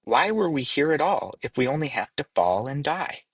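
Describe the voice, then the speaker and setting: A man's voice: mid-range voice, very animated, normal speed, husky, dry recording, studio quality, pitched above the performer's own voice. A man reading as an earnest, questioning young leaf, lilting. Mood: curious. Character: curious, anxious young male tree leaf. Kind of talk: storybook read-aloud.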